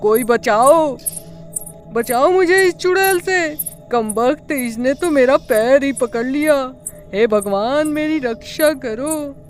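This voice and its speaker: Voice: sits high, slow, faint music behind. A performer voicing young village friends in a cartoon horror story, in a dramatic, exclamatory way. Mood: panicked. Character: frightened or cocky young village friends hunting treasure.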